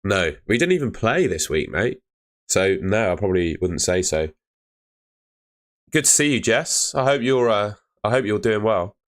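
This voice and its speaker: A young man chatting live with viewers on a stream, laid-back and chatty, his voice fading and hoarse. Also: fairly low pitch, expressive, moderate pace. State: losing his voice.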